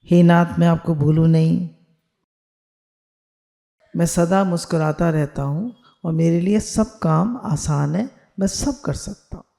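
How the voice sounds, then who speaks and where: A woman's voice: on the low side, slow pace, vocal fry, mellow. A woman spiritual teacher on a video call, leading listeners through affirmations they repeat after her, mixing Hindi with English phrases.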